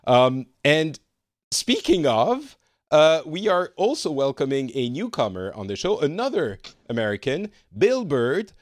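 A man's voice: a little high, sing-song, unhurried, dry recording, studio quality. A man in his forties hosting a podcast, chatting and teasing his guests and laughing often. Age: 40s. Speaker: podcast host. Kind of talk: casual chat, welcoming guests.

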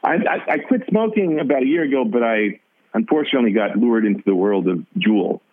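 A man with a raspy voice, talking as a musician guest in a casual interview, halting and full of restarts. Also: mid-range voice, lively intonation. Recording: tinny phone-like line.

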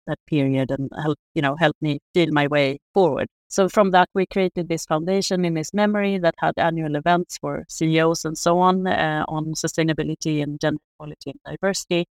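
A middle-aged woman from Sweden, a sustainability researcher, answering interview questions in a soft, measured way with many fillers. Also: deep voice, fairly even intonation, no echo, studio quality.

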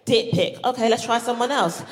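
A woman from Barbados performing a live stand-up comedy set, punchy and playful, shouting out her punchlines. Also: fairly high pitch, fairly quick. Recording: live stage recording with audible background noise.

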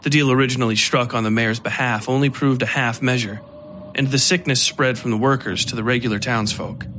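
Casting: male narrator for dark fiction, mid-pitched, measured and literary, with slight ambient noise underneath. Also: steady tone. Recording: faint ambient background noise.

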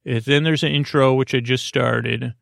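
A man with a creaky voice hosting a sleep podcast, talking in a soothing, meandering monologue that halts and drifts into tangents. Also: medium pitch, fairly even intonation, no room sound, studio quality. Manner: meandering, halting, trailing into tangents; soothing, gently lulling. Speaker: podcast host.

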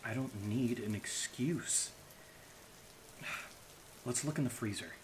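A man's voice: mid-range voice, slow pace, a little hiss or hum, close to an everyday voice, rough recording. A man playing a sarcastic, hot-headed, foul-mouthed amateur sleuth in an audio drama, in naturalistic banter. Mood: indignant. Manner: sarcastic, profane.

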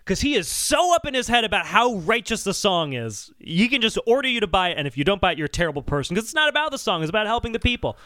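A man hosting a podcast in casual, comedic discussion with animated, exasperated emphasis. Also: high-pitched, quick pace, few pauses, no echo, studio quality. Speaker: podcast host.

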